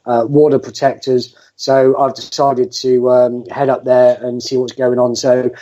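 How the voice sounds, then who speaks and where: A man's voice: medium pitch, level tone, unhurried, dark tone, muffled recording. A man on a political talk show, speaking hesitantly with many filler sounds between phrases. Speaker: podcast co-host. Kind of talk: casual political discussion.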